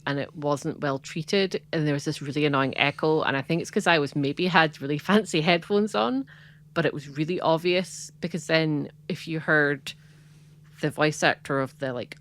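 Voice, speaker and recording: Scottish woman, low voice, rambly casual podcast co-host. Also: fairly bright tone. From Scotland. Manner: chatty and rambling, frequent "like" and laughs. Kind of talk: casual chat.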